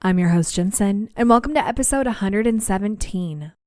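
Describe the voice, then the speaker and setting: A woman's voice: mid-range voice, lively intonation, fairly quick, a little mellow, a little hiss or hum. A young woman hosting a podcast, chatting casually and then reading listener stories aloud. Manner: chatty, laughs easily, reads stories aloud. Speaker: podcast host.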